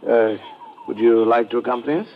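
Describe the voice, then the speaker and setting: An actor playing a genial, polite, somewhat absent-minded expedition doctor in an old-time radio drama. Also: male voice, mid-range voice, fairly quick, lightly acted. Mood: genial, surprised. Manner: polite, proper, effusive. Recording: dull old radio recording, faint noise.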